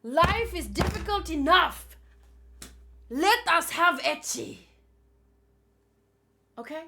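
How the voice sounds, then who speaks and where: A woman's voice: very high-pitched, expressive, slow pace, pushed and bright. A woman giving informal, opinionated commentary as she hosts a casual live read-along and talks with chat. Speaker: manga read-along host.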